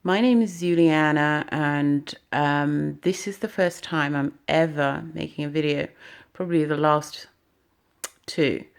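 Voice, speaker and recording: Slow, earnest woman from Uganda. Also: low-pitched, fairly even intonation, gravelly, smooth. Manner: earnest, halting, many fillers. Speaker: vlogger. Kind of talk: personal video commentary.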